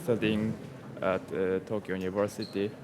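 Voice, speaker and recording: A man's voice: fairly low pitch, little pitch movement. Shy young Japanese-accented man. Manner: hesitant, shy, halting. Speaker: pianist guest. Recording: crowd murmur behind.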